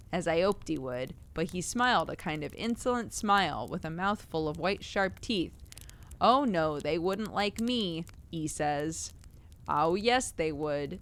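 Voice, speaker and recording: A woman voicing a gruff working-class male zookeeper, dropping his h's in a slow drawl. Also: lowish voice, slight background noise. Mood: grumbling. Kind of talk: reading a Victorian tale aloud.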